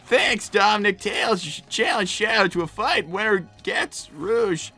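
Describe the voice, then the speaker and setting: A man's voice: sits high, measured pace, sharp tone, faint music behind, exaggerated voice. A man voicing a flustered, defensive young cartoon fox boy with a cracking voice and a stammering, exasperated manner, in an improvised comedy livestream. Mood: reluctant placating. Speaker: livestream co-host in character.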